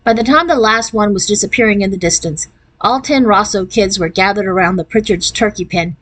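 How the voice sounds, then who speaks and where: A woman reads a children's novel aloud. Her voice sits at a middle pitch, and she narrates expressively, in the manner of a storyteller. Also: brisk.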